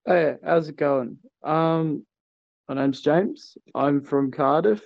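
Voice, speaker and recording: A man's voice: fairly high pitch, slow pace, vocal fry, no echo, lo-fi sound. A man from Australia, a guest telling his story hesitantly with many fillers. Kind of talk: casual call-in interview.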